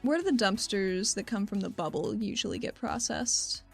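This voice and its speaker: A woman's voice: medium pitch, expressive, normal speed, vocal fry, faint music behind. A woman playing a tabletop game, speaking in casual role-play and table chat with a polite, tentative manner.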